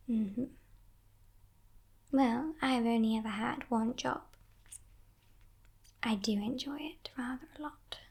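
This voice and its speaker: A woman voicing a playful, menacing female demon in a breathy, teasing whisper for an ASMR roleplay. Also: on the high side, heavily acted. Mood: teasing, curious. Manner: teasing, condescending, softly sinister, unhurried.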